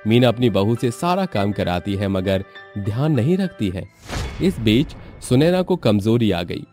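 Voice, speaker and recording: A man's voice: fairly low pitch, very animated, unhurried, warm tone, faint music behind. A man narrating a scripted family story as a storyteller.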